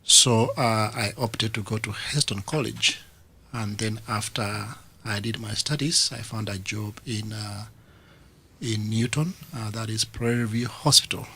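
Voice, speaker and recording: A man from Kenya with a Kenyan accent, a guest on a radio interview, speaking with many pauses and fillers. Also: lowish voice, pushed and bright, husky. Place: radio studio.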